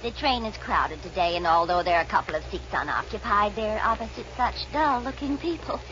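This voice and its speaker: A woman playing a chatty, forward old grandma with a folksy, flattering manner in a 1940s Western radio comedy. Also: mid-range voice, fairly quick. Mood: chatty, sweet. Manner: folksy, talkative, flattering, a bit bossy. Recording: muffled, noisy 1940s radio recording.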